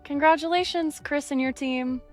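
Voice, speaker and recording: A woman's voice: sits high, moderate pace, soft background music, close to an everyday voice. A woman playing a cool, condescending rival studio leader in a visual novel. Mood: disappointed, polite. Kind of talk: visual novel dialogue acting.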